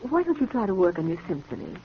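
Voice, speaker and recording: An actress playing an anxious, gentle, trusting sister in an earnest, theatrical 1950s radio drama style. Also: female voice, mid-range voice, very animated, fast. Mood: gently concerned. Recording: muffled, noisy vintage radio recording.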